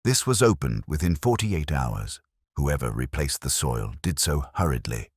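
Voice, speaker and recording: A man voicing a precise, cool, watchful male detective in an audiobook story, speaking in a measured, analytical way. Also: extremely low pitch, sing-song, slightly rough, dry recording, clean studio sound, heavily acted. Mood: analytical, deliberate.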